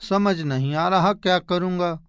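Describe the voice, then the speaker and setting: A man voicing a poor, humble woodcutter husband in an animated moral story, earnest and expressive. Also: high voice, measured pace, clean tone, dry recording, studio quality. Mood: dejected, reluctant.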